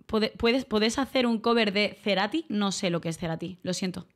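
A woman from Málaga, a streamer and voice-over artist, chatting casually with her viewers, grateful and quick to laugh. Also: medium pitch. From Málaga, Spain. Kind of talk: casual livestream chat reading donations.

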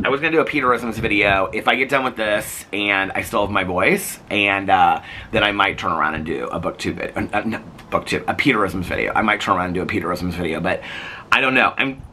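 A man's voice: on the low side, bright, forceful tone. A man in his fifties with a Midwestern American accent, losing his voice so it sounds crackly, rambling chattily to camera on an outdoor porch. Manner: rambling, chatty, many fillers and restarts. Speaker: vlogger. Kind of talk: casual chat to camera.

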